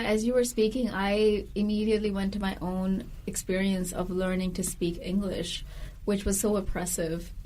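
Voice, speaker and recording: A woman's voice: medium pitch, darkish tone, rough recording. A woman who grew up in India, hosting a podcast interview in an earnest, reflective way, with many fillers. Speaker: podcast host. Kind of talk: conversational interview.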